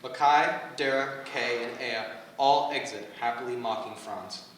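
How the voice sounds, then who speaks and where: A man's voice: medium pitch, unhurried, a bit bright. A man reading stage directions as scripted narration at a staged play reading. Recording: echoey room, far from mic, faint noise.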